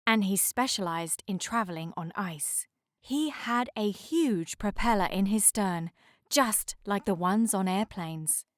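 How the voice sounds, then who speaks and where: A woman storyteller reads a children's book aloud with measured, careful articulation. Her pitch sits in the middle range and the recording is close and dry. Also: no room sound.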